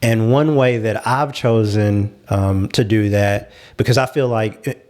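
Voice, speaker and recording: A man's voice: on the low side, measured pace, a little mellow. A man leading a casual panel discussion, making his points emphatically and often repeating himself to stress them.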